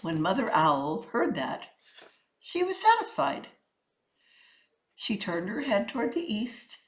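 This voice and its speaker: Female storybook narrator: dramatic, unhurried, very expressive pitch, bright tone. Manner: dramatic, deliberate. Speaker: children's story reader.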